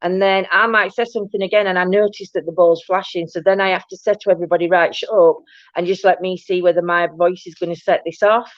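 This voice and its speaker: Booming woman, rambling chat. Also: steady tone, fast, no echo. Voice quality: loud, booming voice. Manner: rambling, many restarts and "do you know what I mean". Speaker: host of a paranormal chat show. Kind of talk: casual live-stream chat reading viewer comments. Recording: dull, dry low-fi stream audio.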